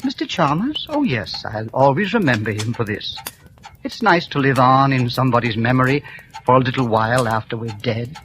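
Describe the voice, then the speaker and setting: An actor playing a frail, courteous, lonely old man in a 1940s radio drama, speaking in a gentle, hesitant and formal way. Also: medium pitch, animated, a little fast, lightly acted. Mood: mild. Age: older. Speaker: elderly insurance policyholder. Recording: hissy old radio broadcast.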